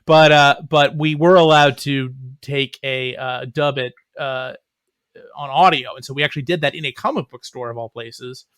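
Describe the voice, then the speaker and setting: Middle-aged guy doing a casual interview, bright punchy voice, dry close sound, kinda wry. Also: mid-range voice, normal speed, smooth, no echo. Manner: wry, joking. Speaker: comics writer and playwright, interview guest.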